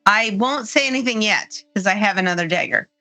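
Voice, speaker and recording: Bright female player; hesitant, filler-laden, dry mic. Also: medium pitch, fairly quick, no echo, soft background music. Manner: hesitant, many fillers and restarts. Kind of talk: roleplaying her character in a tabletop game.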